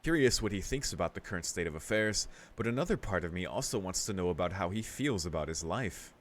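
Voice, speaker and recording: A man's voice: deep voice, animated, quick pace, slight background noise. A man reading a visual novel aloud in a casual let's-play style, mixing narration with his own commentary.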